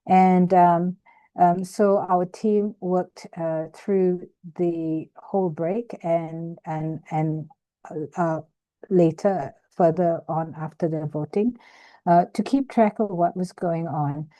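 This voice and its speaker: A woman's voice: lowish voice, fairly even intonation, slow, mellow, dry recording. Hesitant older woman from Malaysia, dry. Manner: hesitant, many ums and restarts. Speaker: webinar speaker, activist. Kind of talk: casual presentation over video call. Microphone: video call.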